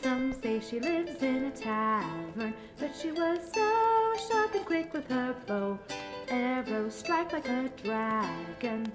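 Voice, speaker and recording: A woman's voice: high-pitched, very animated, slow pace, full character voice. A young woman playing a traveling bard, singing folk ballads in a fantasy audio roleplay. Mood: storytelling, playful. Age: young adult. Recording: roomy echo, plucked instrument music behind.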